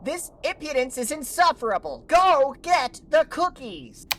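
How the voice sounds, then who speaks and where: A woman's voice: high-pitched, sing-song, a little slow, fairly bright tone, full character voice. A woman voicing an imperious, haughty commander who gives orders in a pompous manner, in a cartoon fandub. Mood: impatient, angry.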